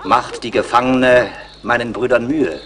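An actor playing a cunning, vengeful, proud Huron chief in a German radio play, with formal, insinuating declamation. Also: male voice, medium pitch, steady tone, a little slow, lightly acted. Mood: formal, sly. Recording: faint background noise.

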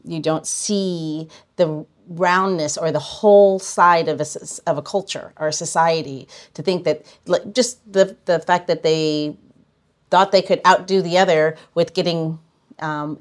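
A woman with a voice a little on the low side hosts a casual interview. She talks haltingly, working out her thoughts aloud as she goes. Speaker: podcast host.

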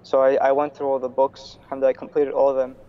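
A man's voice: mid-range voice, very fast, smooth. Young man on a muffled video call. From New York. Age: young adult. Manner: relaxed, lots of fillers and restarts. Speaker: guest, student. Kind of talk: casual interview over video call. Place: small room indoors. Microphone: earphone headset on video call. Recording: slightly dull video call audio.

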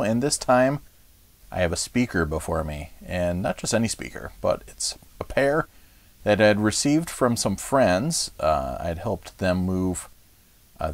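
A man's voice: low-pitched, no echo. A man hosting a casual, unscripted home video about his gear in a chatty, rambling, enthusiast's way. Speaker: vlog host. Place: furnished music room at home, indoors.

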